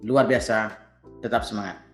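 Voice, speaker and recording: A man in his fifties, a teacher, earnestly presenting to fellow educators and clearing his throat often. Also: on the low side, steady tone, normal speed, echoey room, soft background music. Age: 50s.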